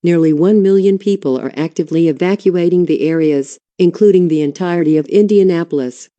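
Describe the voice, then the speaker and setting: A woman's voice: lowish voice, brisk, dark tone, lo-fi sound, close to an everyday voice. A woman playing a serious, composed news anchor delivering a formal scripted bulletin.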